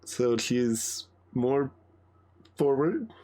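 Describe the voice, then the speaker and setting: A man's voice: mid-range voice, very animated, extremely slow, dark tone. A man giving casual video game commentary as a streamer, musing in muttered asides.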